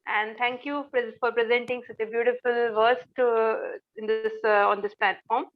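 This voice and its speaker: A woman's voice: fairly high pitch, steady tone, moderate pace. A woman with an Indian accent formally hosting an online gathering, polite and hesitant as she introduces participants on a lo-fi call. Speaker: host of an online poetry gathering. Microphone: video call.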